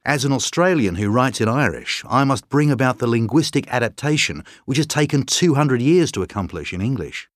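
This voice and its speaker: Male actor as calm articulate writer guest, expressive delivery, dry studio read. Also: medium pitch, fairly quick, hardly any pauses, dry recording, close to an everyday voice. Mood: earnest. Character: man, calm thoughtful writer and broadcaster. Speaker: interview guest. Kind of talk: scripted exam interview.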